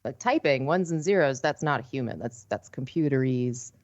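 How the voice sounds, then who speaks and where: A woman, a guest on a podcast panel, explaining her points with quick examples in casual discussion. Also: very deep voice, expressive, darkish tone, dull audio.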